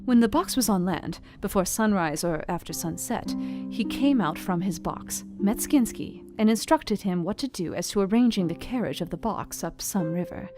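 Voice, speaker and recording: Actress as clever young Victorian wife narrating a journal: composed, exact, formal, analytical. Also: female voice, medium pitch, expressive, over music, lightly acted. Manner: measured, precise, formal and analytical. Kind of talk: dramatized scripted journal narration.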